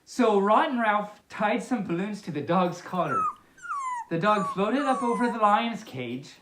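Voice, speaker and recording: A woman reading a picture book aloud, breaking off for playful, chatty, halting asides. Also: medium pitch, wildly swooping pitch, a bit bright, slight background noise. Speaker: storyteller, host. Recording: echoey, reverberant room sound.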